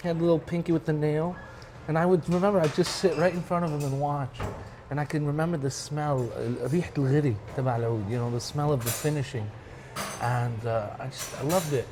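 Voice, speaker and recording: A man's voice: a little high, fairly even intonation, quick pace. A man from Michigan with an American accent, talking casually as an interview guest and slipping Arabic phrases into his English. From Michigan, United States. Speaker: interview guest, musician and music director. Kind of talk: casual interview talk, reminiscing. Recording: clearly noisy background ambience.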